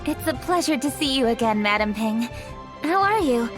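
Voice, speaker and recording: A woman's voice: fairly high pitch, animated, moderate pace, music in the background, natural voice. An actress voicing a courteous, dutiful young noblewoman official in a video game, formal and composed.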